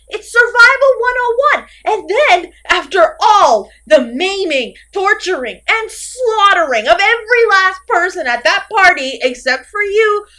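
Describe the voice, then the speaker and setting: Female slasher villain played by an actress, horror-comedy audio drama: high-pitched, very animated, sarcastic and scolding, big theatrical delivery. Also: exaggerated voice. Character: theatrical, exasperated, easily ranting masked slasher killer woman.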